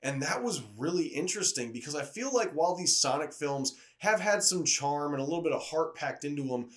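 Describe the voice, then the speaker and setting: A man's voice, pitched a little higher than average, delivers a casual movie review. He talks conversationally, like a video reviewer addressing viewers. His delivery is enthusiastic and opinionated. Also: lively intonation, fairly quick.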